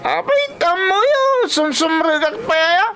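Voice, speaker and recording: An actress playing a defiant, sulky young grandchild in a radio drama, whining and talking back in a Filipino accent. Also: female voice, extremely high pitch, animated, unhurried, fairly bright tone, slight background noise, heavily acted.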